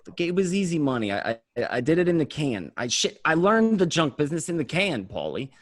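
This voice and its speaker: A man's voice: a little high, very animated, a little fast, no echo, clean studio sound. A man playing a desperate, paranoid small-time gangster, stammering and swearing, in an amateur script read. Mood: pleading. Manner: stammering, repeating, profane.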